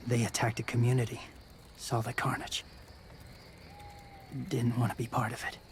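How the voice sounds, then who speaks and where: A man plays a gentle, world-weary young wanderer in a video game drama. His middle-pitched voice barely moves, doling out short, guarded fragments in a subdued way. Light outdoor noise sits behind him. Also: completely flat delivery, normal speed, close to an everyday voice. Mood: guarded, terse. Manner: terse, clipped fragments, subdued.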